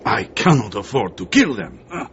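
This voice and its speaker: Deep, animated spymaster. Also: male voice, normal speed. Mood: sly, confiding. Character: shrewd, genial Turkish intelligence chief, middle-aged man. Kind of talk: radio drama acting. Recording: dull lo-fi recording, background noise.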